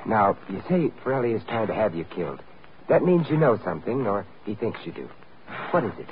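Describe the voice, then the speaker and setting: Suave radio sleuth, animated delivery. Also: male voice, on the low side, fairly quick, muffled recording. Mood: urgent, pressing. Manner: insistent, probing, dryly witty. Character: suave, commanding male amateur sleuth, urgent and wry. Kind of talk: old-time radio drama acting. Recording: thin, noisy vintage radio broadcast recording.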